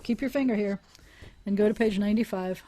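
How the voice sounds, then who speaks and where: A woman's voice: mid-range voice, brisk, mellow, a little hiss or hum, poor audio. A woman teaching a language class over a video call, explaining aloud with restarts and small laughs. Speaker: Hebrew teacher.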